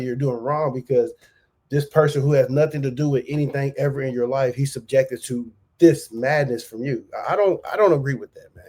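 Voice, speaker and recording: A man's voice: medium pitch, mellow. A man hosting a reaction channel, talking loosely in slang and repeating himself as he comments on a clip. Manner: loose, slangy and repetitive, restating points.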